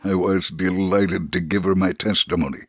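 A man's voice: deep voice, steady tone, moderate pace, no echo, studio quality. A man giving a scripted dramatic reading of a first-person testimony. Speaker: dramatic reader.

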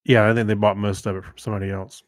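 A man's voice: lowish voice, little pitch movement, very fast, no room sound, studio quality. A man co-hosting a podcast, chatting in an informal, rambling way. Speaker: podcast co-host. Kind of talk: casual chat.

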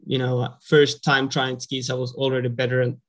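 A man's voice: mid-range voice, monotone, moderate pace. A man from northern Sweden with a Swedish accent, a native Swedish speaker, talking about himself as a podcast guest. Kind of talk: casual interview.

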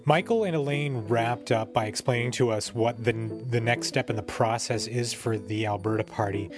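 A man with a Canadian accent giving a reflective, measured, conversational report as a podcast host. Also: mid-range voice. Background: guitar music under the voice.

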